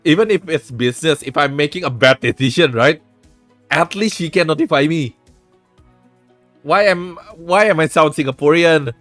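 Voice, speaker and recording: A man's voice: a little high, moderate pace, a bit bright, no echo, faint music behind. A man hosting a live stream, giving frank relationship advice in casual chat, swearing freely and restarting his sentences. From Indonesia. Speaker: streamer host.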